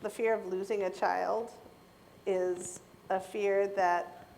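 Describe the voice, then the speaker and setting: A middle-aged American woman speaking casually and anecdotally to an audience, acting out dialogue in an animated way. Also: mid-range voice, slow pace, gravelly, slight background noise, low-quality recording. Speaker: keynote speaker on a panel.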